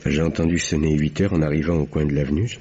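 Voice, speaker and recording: A man's voice: a bass voice, fairly even intonation, fast, mellow. An actor playing an agitated man in his thirties, a nervous murder suspect, in a stammering, hesitant old French radio drama style. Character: anxious stockbroker husband of 32, suspected of murder. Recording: dull, noisy vintage radio recording.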